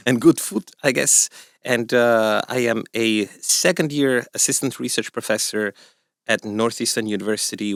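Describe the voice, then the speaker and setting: A man's voice: on the low side, vocal fry, fairly bright tone, dry recording, clean studio sound. A middle-aged man from Italy with an Italian accent, chatting on a podcast as a research professor, rambling with many fillers and jokey asides. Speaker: research professor, lab co-director. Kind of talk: casual podcast chat.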